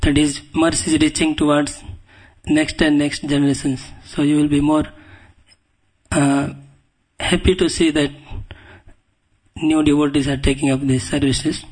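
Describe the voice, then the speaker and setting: Indian guy doing a temple scripture talk, bit high voice, pretty flat tone, humble, halting, clears throat a lot. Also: low-quality recording. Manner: humble, deferential. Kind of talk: devotional scripture lecture to a congregation. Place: speaking at a temple.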